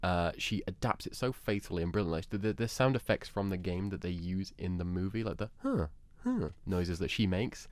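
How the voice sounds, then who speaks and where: A man's voice: deep voice, very animated, a little fast, hardly any pauses, no echo. A man speaking as a panellist in a casual debate, opinionated and emphatic, repeating phrases for effect.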